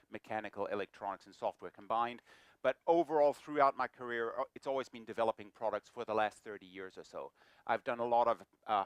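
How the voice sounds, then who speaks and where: Middle-aged man as a guest speaker, joking, self-mocking, mid-pitched, dry room. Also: no echo. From New Zealand. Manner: jokey, self-deprecating, lively. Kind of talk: lecture talk. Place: lecture room.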